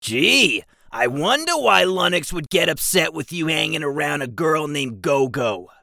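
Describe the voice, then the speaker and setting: A short, squat goblin who answers everything with dry sarcasm. The voice is high and gravelly, and it jumps around sharply, heard close and dry. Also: male voice, sing-song, measured pace, pushed and bright, dry recording, heavily acted. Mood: sarcastic. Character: small squat grumpy male goblin.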